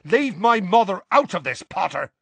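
Audiobook role: male reader as a fiery, accusing teenage schoolboy, snappish, raised pitch, very animated. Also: moderate pace, vocal fry, husky, no echo, heavily acted. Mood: furious. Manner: heated, snapping. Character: hot-tempered, accusing teenage schoolboy in a heated quarrel. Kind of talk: audiobook character voice.

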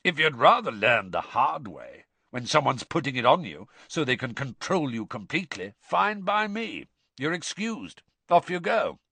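A man voicing a gruff, intimidating old male teacher in an audiobook, growling and barking out commands. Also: medium pitch, very animated, moderate pace, few pauses, bright, forceful tone, no echo, pitched above the performer's own voice, exaggerated voice. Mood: stern.

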